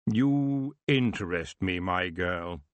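Lecherous horned elf king, acted by a man: languid, drawling, condescending, very slow, for a fantasy audiobook. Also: deep voice, lively intonation, a bit bright, dry recording, full character voice. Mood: amused, languid. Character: lazy, lecherous, seductive elf king with horns. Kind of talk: audiobook character voice.

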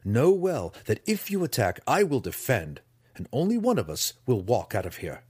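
A man doing a defiant young dark elf warrior. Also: mid-range voice, wildly swooping pitch, normal speed, slightly rough, no echo, studio quality. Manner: stuttering, strained. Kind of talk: audiobook character voice.

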